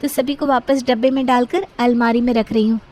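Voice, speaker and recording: A woman's voice: on the high side, steady tone, fairly quick, darkish tone, lightly acted. A woman playing a naive young new bride in a cartoon story, polite and eager. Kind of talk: Hindi moral-story cartoon dialogue.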